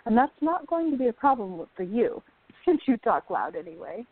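A woman's voice: on the high side, big pitch swings, normal speed, breathy edge. An actress playing a supportive, teasing young woman in a radio play, on a thin recording. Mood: playful. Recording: thin, phone-like audio.

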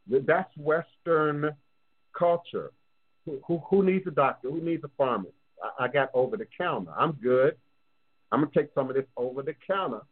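A man's voice: on the high side, slightly rough. A man with a New Orleans accent, hosting a live call-in talk show as a voodoo priest, speaking emphatically and repeating phrases for stress. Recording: lo-fi phone-line sound.

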